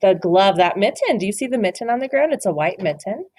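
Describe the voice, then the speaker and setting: Expressive woman reading to children. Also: medium pitch, quick pace, a little hiss or hum. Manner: encouraging, asks children questions. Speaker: teacher reading aloud. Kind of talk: children's read-aloud.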